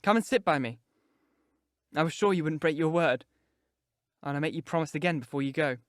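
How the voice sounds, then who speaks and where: A man's voice: a little high, brisk, no echo, clean studio sound, close to an everyday voice. A man voicing a frail, sickly young man who speaks weakly and plaintively and breaks into shrieks. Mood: feeble. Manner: weak and plaintive, then shrieking.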